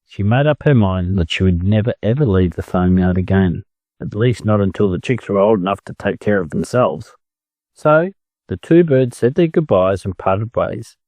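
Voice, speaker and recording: An Australian man narrates a children's story for learners. His voice is mellow with expressive, varied pitch, recorded close and dry. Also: no echo.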